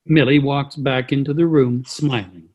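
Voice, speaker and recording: A man's voice: medium pitch, fairly even intonation, normal speed, a little mellow. A man reading stage directions as the narrator of a play reading. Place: video call.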